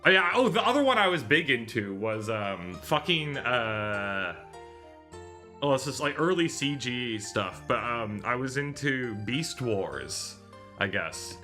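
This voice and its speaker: Bright-voiced man rambling on a livestream. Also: medium pitch, very animated, unhurried, soft background music. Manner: rambling, digressive, self-correcting. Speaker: live streamer hosting a visual novel readalong. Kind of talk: casual livestream chat.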